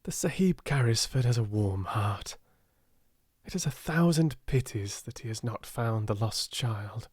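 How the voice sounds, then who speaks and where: A man voicing a humble, devoted Indian manservant telling things gently in a storybook, measured and deferential. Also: medium pitch, lively intonation, husky, no echo, studio quality. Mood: reverent.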